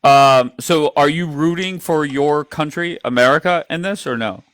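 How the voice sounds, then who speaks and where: A man's voice: a little high, normal speed, fairly bright tone, no echo. A man hosting a live stream, questioning a guest bluntly, swearing, cutting in and sounding sarcastic. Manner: blunt, profane, interrupting, sarcastic. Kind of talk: confrontational call-in talk.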